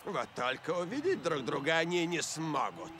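A man's voice: fairly high pitch, animated, normal speed, very noisy, lightly acted. A man voicing a gloating, imperious older villain scientist in a dubbed cartoon, with theatrical delivery. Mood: scheming. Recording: music behind the voice.

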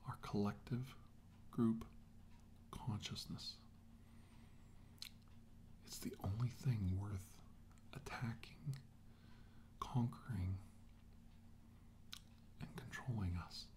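A middle-aged man playing a grim, remorseful military scientist in an ASMR drama, speaking in hushed, halting phrases that often drop to a whisper. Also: fairly low pitch. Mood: solemn, intense. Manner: hushed, halting, slow delivery in short phrases. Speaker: ASMR role-play actor. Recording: hushed voice over clear background noise.

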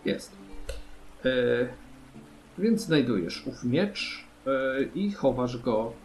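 A man narrating a tabletop role-playing game as game master, haltingly, often checking in with his players. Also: medium pitch, big pitch swings, a little mellow. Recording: music under the voice. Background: background music.

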